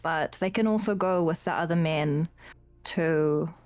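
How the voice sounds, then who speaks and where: A woman playing a tabletop roleplaying game, describing her character's actions with hesitant pauses. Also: sits low. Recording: music playing behind the voice. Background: music.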